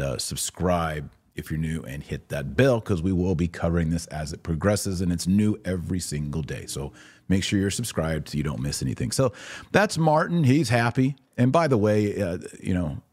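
An American man hosting a religious commentary podcast, speaking emphatically with deliberate pauses for stress. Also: sits low, a little mellow, breathy edge. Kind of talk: opinionated commentary to camera.

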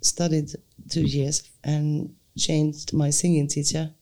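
A middle-aged woman, a singer and voice teacher, talking casually in English with thoughtful, halting phrasing and frequent restarts. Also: sits low, flat delivery, mellow. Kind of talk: casual conversation.

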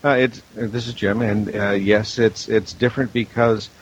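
A man's voice: lowish voice, level tone, moderate pace. A man speaking as a guest producer in a casual panel discussion about his work. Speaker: audio drama producer and director, panel guest. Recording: dull lo-fi audio with clear hiss.

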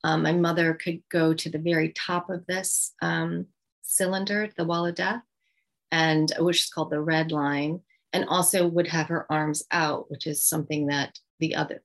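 Middle-aged woman author, reflective interview, deep fry in the voice, hesitant. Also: little pitch movement, low-quality recording. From United States. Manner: thoughtful, halting, many ums. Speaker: author guest.

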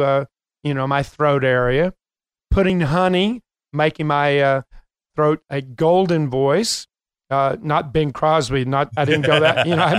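An older man hosting a coaching podcast, speaking casually with frequent filler pauses. His voice is smooth and clear, set a bit higher than most. The studio sound is dry and close. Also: no room sound. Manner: chatty, many filler pauses. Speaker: host and coach.

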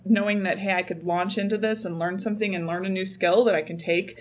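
A woman hosting and editing a podcast, chatting in a gushing, excitable way with many fillers. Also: mid-range voice, quick pace, muffled recording. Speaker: podcast host and editor. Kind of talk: casual chat.

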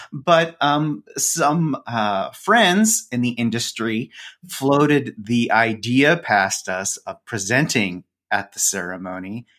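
A man's voice: medium pitch, fairly bright tone. A man speaking as a podcast guest in a casual conversation, telling stories in a chatty, self-deprecating way.

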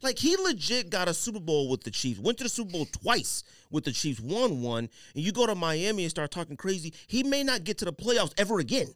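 A man's voice: sits high, very animated, fairly quick, few pauses, a bit bright, no echo, studio quality. An American man co-hosting a casual sports podcast, arguing emphatically in slangy banter.

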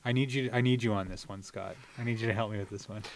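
A man's voice: on the low side, steady tone, fast talker, slight background noise. A man co-hosting a podcast, asking questions and bantering casually with a guest. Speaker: podcast co-host.

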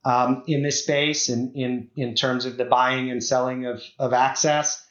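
A man's voice: mid-range voice, flat delivery, unhurried, a bit bright, echoey room, lo-fi sound. A middle-aged man from the Czech Republic, a guest executive talking in a podcast interview, hesitant, with many fillers and restarts.

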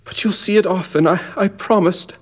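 An actor playing a gentle, humble father in grief in a radio drama, stammering and tearful. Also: high-pitched, normal speed. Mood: brave sorrow.

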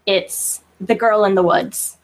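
A woman's voice: medium pitch, steady tone, slow pace. A woman chatting casually as a guest author in an interview, informal and self-deprecating in manner.

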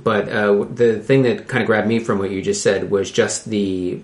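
A man in his late thirties hosting a casual TV recap podcast, talking haltingly as he searches for his words. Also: on the low side, flat delivery, reverberant. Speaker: podcast host.

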